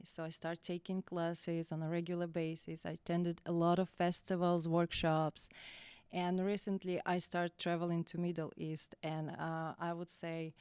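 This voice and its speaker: A young woman with a Russian accent chats as a guest, halting and searching for her words between laughs. Her voice sits a little low and sounds smooth and resonant, close and dry with no room around it. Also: no echo. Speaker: guest belly dancer. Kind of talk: casual interview chat.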